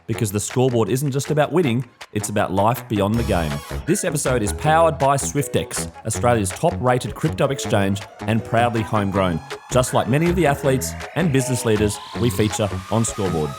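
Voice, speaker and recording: A man's voice: mid-range voice, animated, brisk, few pauses. A man reading a polished, scripted show intro. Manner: polished, promotional delivery. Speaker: show announcer. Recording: music bed under voice.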